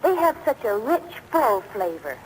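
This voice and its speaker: A woman's voice: on the high side, big pitch swings, normal speed, a little hiss or hum, poor audio. A woman playing a satisfied factory worker giving a testimonial in a 1940s radio ad. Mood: pleased. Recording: old radio broadcast, rain overlaid.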